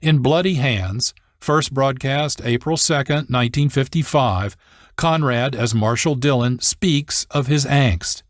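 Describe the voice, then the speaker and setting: A man hosting with measured, deliberate scripted documentary narration. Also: medium pitch, no echo, studio quality. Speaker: host and narrator.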